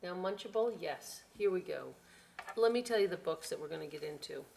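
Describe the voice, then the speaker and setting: A woman's voice: fairly low pitch, animated, a little fast, gravelly, darkish tone, a little hiss or hum. A middle-aged woman reading picture books to young children at a library storytime, playful and conversational, with chatty asides. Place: inside a library.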